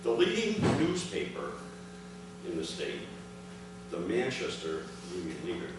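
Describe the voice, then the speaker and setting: A man reads a prepared statement in a formal, deliberate way, stressing the start of his sentences. He is recorded from far off in a very echoey room, with clear background noise. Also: low voice, huge pitch swings. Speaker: speaker reading his father's prepared account. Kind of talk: formal prepared speech read aloud at a ceremony. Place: large indoor room, addressing a gathering. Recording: echoey, noisy hall recording from afar.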